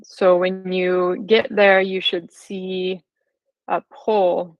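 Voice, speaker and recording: A woman's voice: fairly low pitch, level tone, drawn-out words. A woman in her twenties with an American accent, giving a conversational presentation to an audience as a guest speaker. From California, United States. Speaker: guest speaker, software engineer. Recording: slightly roomy, dull lo-fi sound.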